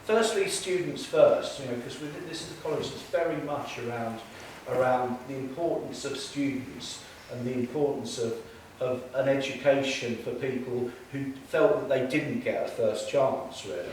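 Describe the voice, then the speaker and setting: A man's voice: medium pitch, darkish tone, slight background noise. Man speaking far off in an echoey hall. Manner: hesitant, many restarts and fillers. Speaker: college representative giving opening remarks. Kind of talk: speech to an audience. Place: large echoey room. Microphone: distant from the recording mic.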